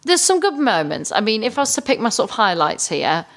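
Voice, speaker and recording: A woman's voice: fairly high pitch, big pitch swings, fairly quick, a bit bright. Woman co-hosting a casual film chat. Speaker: podcast co-host.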